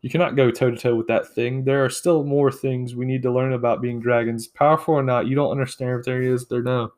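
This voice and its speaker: A man's voice: medium pitch, fairly even intonation, brisk, a little mellow, natural voice. A man voicing a cautious young male dragon who pleads urgently with his brother. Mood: worried.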